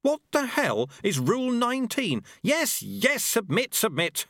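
A man's voice: very high-pitched, wildly swooping pitch, a little slow, fairly bright tone, no room sound, clean studio sound, pitched above the performer's own voice, heavily acted. A man voicing an earnest, stubborn young male monk apprentice. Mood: desperate. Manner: earnest, indignant, firm.

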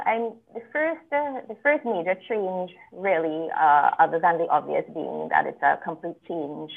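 A woman from Trinidad, a lawyer guest telling her story in a measured, reflective way over a remote call. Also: lowish voice, gravelly. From Trinidad and Tobago. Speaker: guest, attorney. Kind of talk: conversational interview. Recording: tinny phone-like call line.

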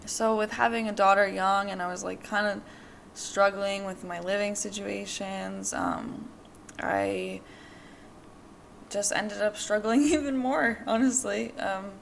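A woman's voice: mid-range voice, fairly even intonation, measured pace, gravelly, slight background noise. A woman in her twenties hosting a podcast, telling her own story in a candid, rambling way with many fillers. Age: 20s. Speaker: podcast host. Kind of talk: personal monologue.

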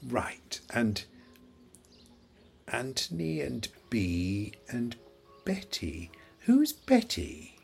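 An actor playing an elderly, forgetful father in an audio play, confused and wistful, halting and searching for his words. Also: mid-range voice, huge pitch swings, gravelly, husky, faint music behind, exaggerated voice. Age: older. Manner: halting, searching, repeats himself.

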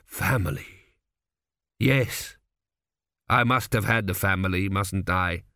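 A man voicing a very old high priest in an audiobook, calm, grave and measured, with quiet authority. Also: clear, resonant tone, dry recording, clean studio sound, exaggerated voice. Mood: wistful. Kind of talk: audiobook character voice.

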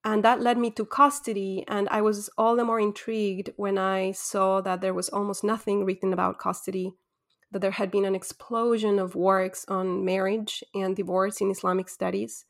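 A woman's voice: mid-range voice, level tone, normal speed, creaky. A woman from Ecuador, a guest scholar, giving reflective step-by-step answers in an interview.

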